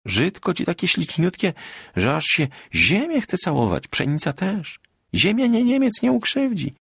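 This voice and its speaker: A man's voice: high voice, lively intonation, normal speed, gravelly, husky, dry recording, clean studio sound, pitched above the performer's own voice, exaggerated voice. A man reading an audiobook, voicing a kind-hearted, bossy peasant wife who talks garrulously and breathlessly in rustic dialect. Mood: consoling. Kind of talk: literary audiobook character voice.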